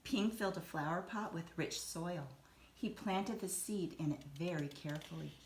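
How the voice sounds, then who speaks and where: Low-voiced woman reading a storybook, roomy sound. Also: normal speed. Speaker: teacher reading a storybook aloud. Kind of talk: expressive children's storybook narration. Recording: roomy echo, some background noise.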